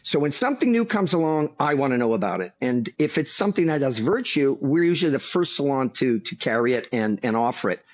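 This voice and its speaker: A man who is a master hair stylist and salon owner, talking as an interview guest in a measured, storytelling way. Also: mid-range voice, very animated. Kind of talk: casual interview talk.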